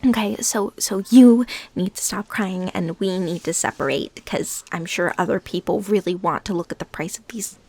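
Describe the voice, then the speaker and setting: A woman playing a lovesick, bitter ex-stalker fighting her obsession, in an emotional, halting audio roleplay monologue. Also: on the low side, no echo. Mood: weary, conflicted. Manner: halting, emotional, swinging between hushed whispers and outbursts.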